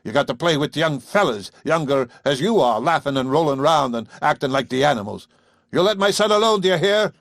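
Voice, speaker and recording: A man voicing a gruff, bullying old farmer with a whining, rough country dialect. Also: on the high side, lively intonation, fairly quick, a bit bright, dry recording, studio quality, pitched above the performer's own voice. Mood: angry, sneering.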